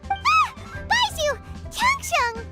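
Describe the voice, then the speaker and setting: A woman's voice: very high-pitched, sing-song, a little slow, over music, heavily acted. A woman voicing a small, childlike, inquisitive girl companion in a video game, naive and exclaiming. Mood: startled.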